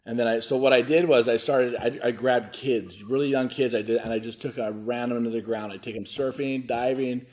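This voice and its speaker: A man in his forties from Hawaii, a foundation director speaking candidly and haltingly in an interview, often restarting his sentences. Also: mid-range voice, steady tone, darkish tone. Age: 40s. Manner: earnest, halting, restarts a lot. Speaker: nonprofit foundation director, guest.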